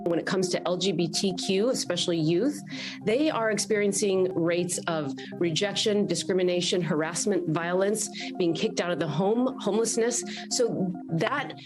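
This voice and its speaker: A woman's voice: on the low side, moderate pace, over music. A woman doctor speaking in a panel discussion, firm and listing points. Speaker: suicide prevention doctor.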